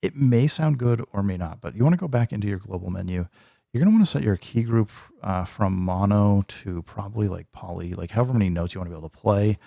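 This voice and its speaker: A man's voice: on the low side, animated, fast talker, gravelly, no room sound, lo-fi sound. A man casually teaching music gear hands-on, thinking aloud informally as he demonstrates. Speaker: music gear tutorial host. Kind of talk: casual hands-on software tutorial.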